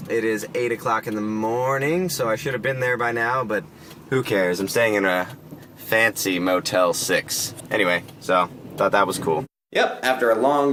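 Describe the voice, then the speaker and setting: A man's voice: mid-range voice, a bit bright, a little hiss or hum. A young man talking off the cuff in a casual travel vlog, with a goofy, playful manner. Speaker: vlogger.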